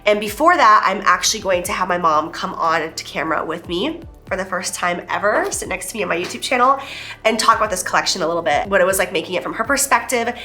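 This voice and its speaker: A woman's voice: mid-range voice, animated, bright, forceful tone, faint music behind. Gushing, stuffy-nosed woman vlogger. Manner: gushing, emotional, talks fast. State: nasal from a head cold. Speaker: beauty vlogger. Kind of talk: casual chat.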